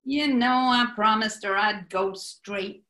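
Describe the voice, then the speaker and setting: A woman's voice: medium pitch, takes it slowly, bright, forceful tone. A woman playing a brash, scheming male gangster boss with an American accent in a 1940s-style radio play, wisecracking and bossy. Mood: boastful, sardonic. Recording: roomy echo.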